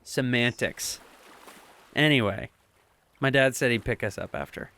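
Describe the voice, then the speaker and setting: A man's voice: medium pitch, measured pace, bright, forceful tone. A young man playing Adam, a cocky but affectionate teenage boy in an audio drama, bantering playfully like a know-it-all.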